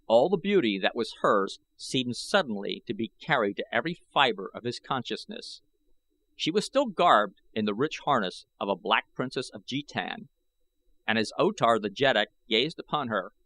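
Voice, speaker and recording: Creaky, expressive male audiobook narrator. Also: mid-range voice, rough recording. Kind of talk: dramatic scripted narration.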